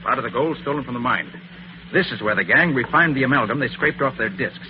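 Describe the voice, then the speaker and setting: An actor playing a confident, sharp-witted master detective in an old radio drama, explaining things in a brisk, assured way. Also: male voice, mid-range voice, lively intonation, natural voice. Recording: muffled, hissy old radio recording.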